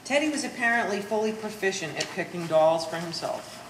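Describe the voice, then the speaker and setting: A woman's voice: medium pitch, lively intonation, fairly quick, natural voice. A woman playing a suspicious, haughty grown daughter with pompous, wordy sarcasm in a live one-act stage play. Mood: scornful. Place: art gallery stage reading. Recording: roomy echo, clear background noise.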